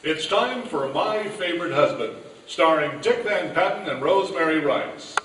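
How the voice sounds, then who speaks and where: A man's voice: a little high, lively intonation, normal speed, a little hiss or hum. A man announcing and narrating an old-time radio show from a script, live on stage. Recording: echoey hall, distant, loud music behind.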